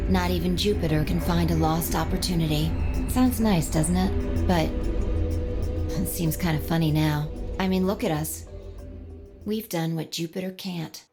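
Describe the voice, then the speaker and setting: A low-pitched actress's take on a young woman from a video game, composed and knowing. She explains things in a measured, matter-of-fact way with a slight tease, over loud music. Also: natural voice.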